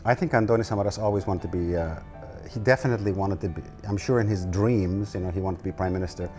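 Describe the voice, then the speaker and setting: A man in his sixties from the United States, an artist telling his family story in an interview at his home, relaxed and wry. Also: low-pitched, fast talker, soft background music. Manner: relaxed, wry, self-deprecating. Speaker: guest artist.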